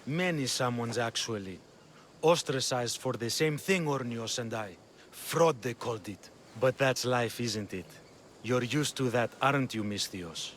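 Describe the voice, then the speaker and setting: A man's voice: medium pitch, measured pace, fairly bright tone, a little hiss or hum, close to an everyday voice. Man playing a pompous, wealthy old exile. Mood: smug. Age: older. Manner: pompous, smug, condescending. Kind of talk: video game cutscene acting.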